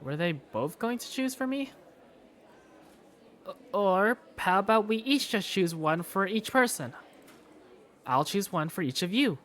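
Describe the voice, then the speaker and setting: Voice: medium pitch, big pitch swings, a little slow, long pauses, a bit bright. A performer voicing an awkward, easily flustered male student who narrates his school day in a hesitant, wry first-person style. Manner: hesitant, wry inner monologue. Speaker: narrator and main character of a visual novel. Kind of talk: first-person visual novel narration and dialogue.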